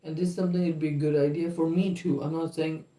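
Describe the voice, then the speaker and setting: A man's voice: on the high side, little pitch movement, fast, mellow. A man co-hosting a livestream, earnestly reasoning aloud and checking in with "right?" and "why?". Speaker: vlog co-host on a livestream. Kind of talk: casual livestream chat. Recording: roomy room sound.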